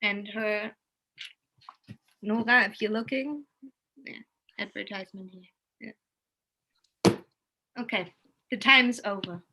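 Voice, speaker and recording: A woman's voice: medium pitch, takes it slowly, long pauses, pushed and bright, a little hiss or hum, rough recording. A woman speaking as a guest in an unscripted discussion, explaining earnestly in long, linked thoughts.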